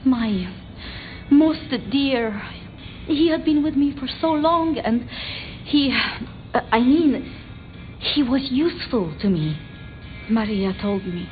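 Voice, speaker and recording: A woman playing a timid, bookish vampire researcher in a video game, hesitant and apologetic, stammering over halting phrases. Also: fairly high pitch, lively intonation, phone-quality sound, soft background music. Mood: grieving, halting. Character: timid, flustered, bookish female vampire researcher, devoted to her retainers. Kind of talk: video game dramatic dialogue.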